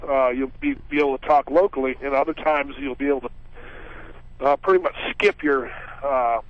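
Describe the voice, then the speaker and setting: A man's voice: mid-range voice, steady tone, moderate pace, dry recording. Male caller on a tinny phone line. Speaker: radio call-in listener. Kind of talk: informal explanation. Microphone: telephone.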